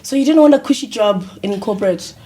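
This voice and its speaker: A woman hosting an interview podcast, lively and teasing, laughing often. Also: mid-range voice, fast, a loud crowd. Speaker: podcast host. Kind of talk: upbeat interview chat.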